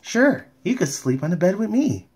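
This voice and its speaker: A man's voice: sits high, sing-song, normal speed, a little mellow, husky, pitched above the performer's own voice, full character voice. A man voicing a startled, questioning female cartoon pony in a fan comic dub. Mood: earnest.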